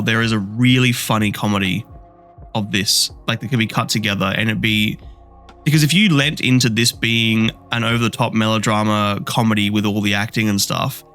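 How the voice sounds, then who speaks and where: A man's voice: mid-range voice, pushed and bright. A man co-hosting a movie podcast, ranting bluntly and swearing freely as he reviews a film. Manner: blunt, swears a lot, rants with rising energy. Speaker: podcast co-host. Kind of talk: casual movie review chat.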